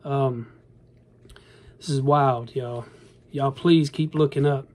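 A man's voice: mid-range voice, steady tone, takes it slowly, a little mellow. A man in his thirties talking casually and earnestly to his phone camera in a bedroom, rambling and calling his viewers y'all. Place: indoor bedroom. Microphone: phone camera at arm's length.

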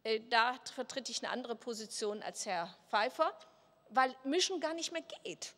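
A woman's voice: on the high side, expressive, normal speed, a bit bright. An older woman, a professor, giving a conference talk at a lectern, gesturing and posing questions aloud to herself. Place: large hall at a political conference. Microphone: lectern microphone. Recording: reverberant hall.

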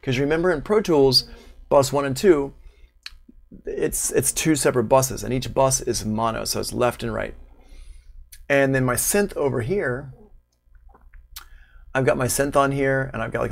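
A man in his thirties giving a casual, unscripted software tutorial as an instructor, thinking aloud as he goes. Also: mid-range voice, gravelly. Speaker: online music production instructor. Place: small room at home, indoors, livestream.

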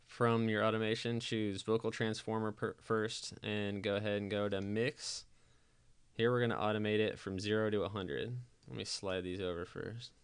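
A man giving a casual software tutorial voice-over, explaining things informally step by step. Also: fairly low pitch, clear, resonant tone. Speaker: tutorial presenter.

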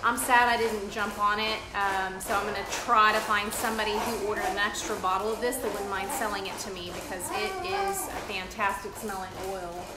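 A woman's voice: mid-range voice, a little fast, hardly any pauses, over loud music. A middle-aged woman on a living-room couch, chatting with enthusiasm in an informal review and steering the conversation. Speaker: co-host leading the review. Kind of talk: informal product review chat. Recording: echoey room with loud background noise.

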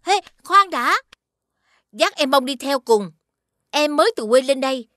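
High-voiced, firm cartoon mother. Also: animated, measured pace, pushed and bright, no echo, studio quality, heavily acted. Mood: firm, instructing. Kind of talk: animated series dubbing.

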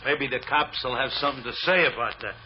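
A man's voice: medium pitch, quick pace, lightly acted. An actor playing a nervous, loyal working man in an old-time radio drama, hesitant and anxious. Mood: scared. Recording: muffled, noisy old radio recording.

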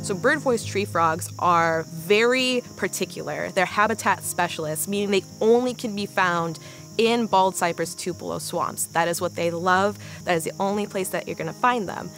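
American woman researcher talking in a nature doc, lowish voice, faint music under it. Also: normal speed, fairly bright tone. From northern Illinois, United States. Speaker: conservation researcher. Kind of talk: documentary interview, explaining. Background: music, insects.

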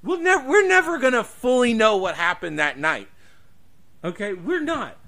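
A man's voice: very high voice, sing-song, moderate pace, pushed and bright. A man hosting a podcast, giving opinions in casual discussion, emphatic and given to swearing and repeating his points. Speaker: podcast host.

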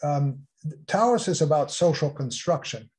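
Mellow-voiced male panel moderator. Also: on the high side, expressive. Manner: halting, restarts sentences often. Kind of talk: hosting an online panel session.

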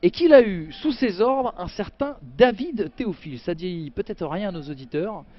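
A man doing play-by-play football commentary, chatty and jokey, bursting with excitement on big chances. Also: high voice, moderate pace, lo-fi sound.

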